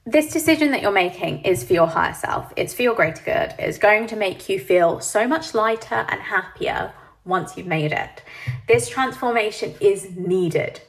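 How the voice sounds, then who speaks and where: A woman giving a pick-a-card tarot reading, sniffly from hay fever, speaking gently and reassuringly to the viewer. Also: mid-range voice, animated, normal speed, low-quality recording. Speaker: tarot reader.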